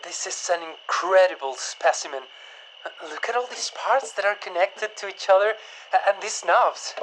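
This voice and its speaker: A woman's voice: medium pitch, moderate pace, close to an everyday voice. A woman playing a cautious, fascinated stranger in an audio drama, speaking politely and hesitantly with keen curiosity. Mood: amazed. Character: cautious, fascinated stranger studying found specimens. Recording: faint noise, night insects and frogs behind. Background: crickets, frogs, outdoor ambience.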